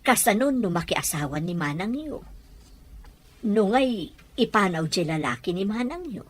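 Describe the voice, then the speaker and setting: A woman's voice: medium pitch, sing-song, normal speed, breathy edge. A woman acting a protective, motherly older aunt who probes and worries, in a radio drama with an Ilocano accent. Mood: worried, confiding.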